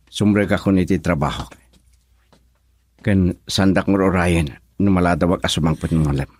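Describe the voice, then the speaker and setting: A man's voice: sits low, fairly even intonation, moderate pace, a little mellow, dry recording, clean studio sound. A Filipino man playing an earnest, resolute young suitor in a radio drama, sober and sincere. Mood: serious.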